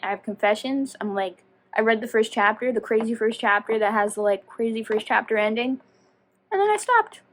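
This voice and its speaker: A woman's voice: a little high, a little hiss or hum. A woman chatting casually to viewers as a book vlogger, rambling and self-deprecating as she goes off on tangents. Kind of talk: casual chatty video talk.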